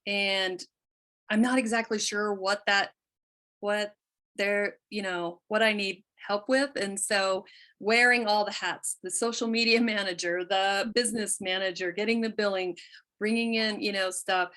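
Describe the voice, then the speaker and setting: A woman's voice: medium pitch, fairly even intonation, unhurried, sharp tone. A middle-aged woman talking as a podcast guest in a casual conversation, chatty and candid, often trailing off mid-thought. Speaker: guest, quilt artist.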